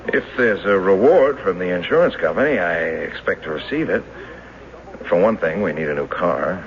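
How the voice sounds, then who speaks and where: An American actor playing an earnest, determined former banker demanding justice in a radio drama, speaking deliberately and formally. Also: male voice, sits low, expressive. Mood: insistent. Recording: dull noisy vintage radio drama recording.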